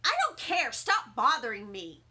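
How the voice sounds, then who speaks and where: A mean little storybook boy, acted by a woman, hollering and bossy. The voice is high and forceful, with curt, irritated commands. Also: big pitch swings, a little slow, slightly rough, exaggerated voice. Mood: annoyed shouting. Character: mean, shouting little boy who wants to be left alone.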